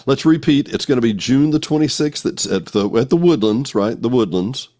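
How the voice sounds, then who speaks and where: A man's voice: mid-range voice, animated, normal speed, darkish tone. A man hosting a conversational podcast interview, responding to his guest. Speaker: podcast host.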